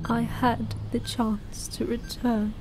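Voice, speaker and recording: Slow, menacing actress as ancient Mother Superior. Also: a little high, flat delivery, very noisy. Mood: grave, haunted. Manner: slow, deliberate, breathy near-whispers. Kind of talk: audio drama acting. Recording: music under the voice.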